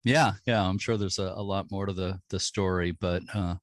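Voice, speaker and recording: Low-voiced affable male podcast host. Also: fairly even intonation, moderate pace, no room sound, studio quality. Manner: affable, with frequent uh fillers. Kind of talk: casual interview.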